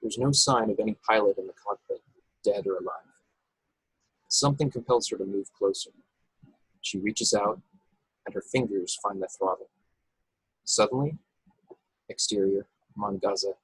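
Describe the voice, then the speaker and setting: A man with a husky voice reading stage directions as narrator of a script table read over a video call. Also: fairly low pitch, moderate pace, long pauses, a bit bright, no room sound, poor audio. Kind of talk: scripted narration with occasional stumbles.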